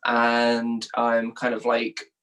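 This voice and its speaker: A young man from England with a mid-pitched voice speaks as an interviewed journalist. He answers informally and thoughtfully, feeling his way through each point with plenty of hedging. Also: completely flat delivery, drawn-out words, bright, forceful tone. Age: young adult.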